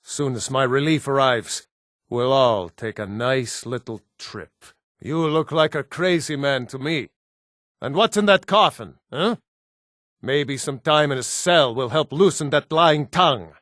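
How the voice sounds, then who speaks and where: A man acting as a gruff Nord city guard from a fantasy video game. He is blunt and commanding and quick to threaten, with a mid-pitched voice in a very dry, close recording. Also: fairly bright tone, no room sound, lightly acted. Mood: suspicious, threatening. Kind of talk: video game character dialogue.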